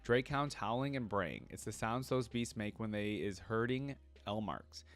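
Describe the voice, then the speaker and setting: A man's voice: fairly low pitch, measured pace, soft background music. A man reading a first-person story as a cantankerous, boastful small warrior creature with a folksy, grumbling manner.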